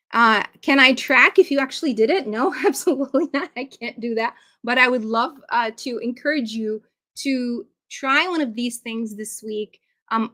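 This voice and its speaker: A woman's voice: a little high, bright, forceful tone. Encouraging woman presenting a parenting webinar. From Iraq. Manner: conversational, encouraging, frequent ums and uhs. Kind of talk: live webinar talk to parents.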